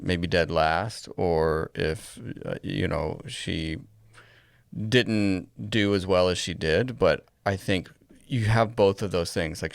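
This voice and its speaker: Male podcast co-host, low-pitched with vocal fry, conversational, recorded close and dry. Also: no echo. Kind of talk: casual conversational podcast discussion.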